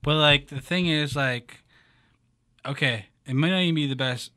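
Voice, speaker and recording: Young male podcast co-host, bright tense tone, eager "yo" starts, close dry studio sound. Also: on the high side, normal speed, smooth, no echo. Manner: excitable, starts lines with "yo", stammers in restarts. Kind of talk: casual chat. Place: small radio studio. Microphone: studio mic on arm, headphones.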